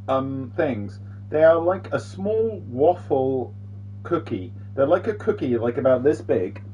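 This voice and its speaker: A man's voice: medium pitch, mellow, dull audio, slight background noise. A man chatting and rambling casually while live-streaming a video game, with the odd swear word. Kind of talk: casual live-stream chat over gameplay.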